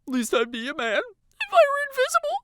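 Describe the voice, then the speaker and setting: A woman's voice: very high-pitched, wildly swooping pitch, fast, bright, forceful tone, a little hiss or hum, pitched above the performer's own voice, full character voice. A woman voicing an anxious, sarcastic teenager in a girl's body, with a whiny, dramatic delivery in a story narration.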